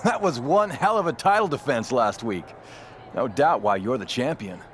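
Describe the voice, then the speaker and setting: A man performs a veteran wrestler in a game cutscene, full of himself and very animated. He leans hard on phrases and stretches them out for drama, with a slight noise in the background. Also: mid-range voice, moderate pace, natural voice. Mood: cheerfully cocky. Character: boastful veteran male wrestler with a cheery, wide-eyed alter ego. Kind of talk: scripted video game cutscene acting.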